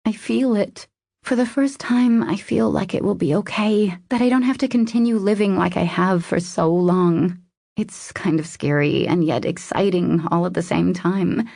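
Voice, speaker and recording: A woman narrator voicing a guarded, vulnerable woman in an audiobook romance, speaking softly and hesitantly as she confides. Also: fairly low pitch, moderate pace, gravelly, no echo, studio quality, pitched above the performer's own voice. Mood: apologetic, hopeful. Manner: hesitant, confessional, soft. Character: vulnerable, guarded young woman opening up to her lover.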